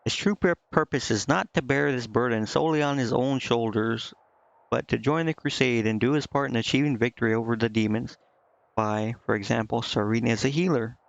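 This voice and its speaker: A man's voice: mid-range voice, a little fast, creaky, no room sound, muffled recording. A man doing casual live gameplay commentary, thinking aloud indecisively and reading game text out. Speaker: game streamer. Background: game music.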